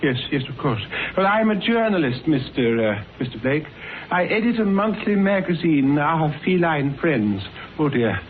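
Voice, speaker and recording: An actor in a radio drama playing a fussy, flustered little editor who speaks formally and repeats himself. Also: male voice, a little high, big pitch swings, normal speed. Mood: agitated, flustered. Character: fussy, agitated, inoffensive little cat-magazine editor. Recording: tinny band-limited radio recording with hiss.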